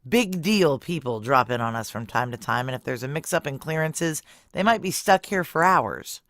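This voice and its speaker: A woman's voice: rumbling low, lively intonation, moderate pace, a bit bright, no echo. A woman playing a tough, sardonic security officer in her thirties, American, narrating an audio drama in a dry, blunt way. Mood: wry, brisk. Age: 30s. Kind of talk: first-person noir audio drama narration.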